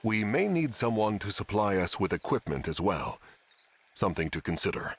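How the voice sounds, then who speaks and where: A husky, courteous old knight. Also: male voice, fairly low pitch, sing-song, heavily acted. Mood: courteous, calm. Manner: formal, courteous, measured. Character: dutiful, courteous older knight retainer. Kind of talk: scripted video game voice acting.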